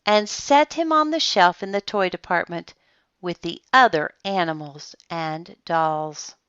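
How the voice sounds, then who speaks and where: A woman reading a children's story aloud, with dramatic pauses and deliberate emphasis. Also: lowish voice, a bit bright, clear, resonant tone, dry recording, highs cut off. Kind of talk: expressive children's storybook narration.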